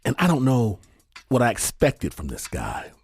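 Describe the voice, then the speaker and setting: A man hosting a storytelling show, narrating from a script in a theatrical way with deliberate dramatic pauses. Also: medium pitch, huge pitch swings, slightly rough, no echo. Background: music bed.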